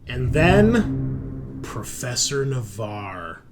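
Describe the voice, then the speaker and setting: A man's voice: mid-range voice, very animated, extremely slow. A man acting as game master, narrating and explaining rules in an informal, sweary way. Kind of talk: casual tabletop game narration and rules talk. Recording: faint music behind. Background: faint music.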